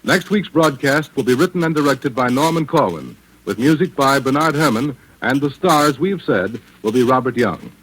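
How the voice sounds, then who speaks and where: A man's voice: medium pitch, lively intonation, moderate pace, hardly any pauses, a bit bright. A man reading formal scripted announcements as a 1940s radio network announcer. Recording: faintly noisy old radio, clicks.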